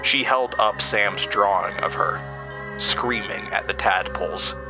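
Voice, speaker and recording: A man narrating a first-person horror story in a deliberate, halting manner with dramatic pauses. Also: fairly low pitch, music in the background.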